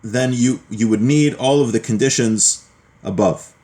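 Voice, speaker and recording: A man teaching a religious class, reading a text aloud and explaining it as he goes, with halting repeats and restarts. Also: mid-range voice, warm tone.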